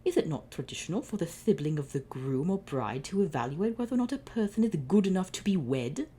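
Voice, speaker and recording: A woman's voice: on the low side, animated, a little fast, mellow, breathy edge, pitched above the performer's own voice. A woman voicing a scheming, lisping wannabe-pharaoh man who plays the villain in a fan story reading. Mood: smug. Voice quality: lisp.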